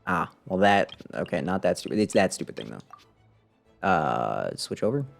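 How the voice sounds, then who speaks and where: A man with a fairly low voice commentates casually over his own game stream. He thinks out loud, swinging between muttering and exclaiming, often at his own expense. Game music plays faintly behind him. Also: big pitch swings, a little slow, vocal fry. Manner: thinks aloud, self-deprecating, mutters and exclaims. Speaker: video game livestreamer. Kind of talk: casual live gameplay commentary.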